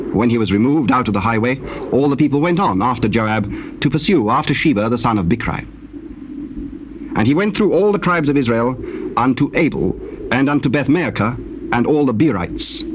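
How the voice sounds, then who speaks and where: A male narrator reads scripture as solemn, measured storytelling. His voice sits at a middle pitch, and the recording has clear background noise. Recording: noisy cassette tape recording.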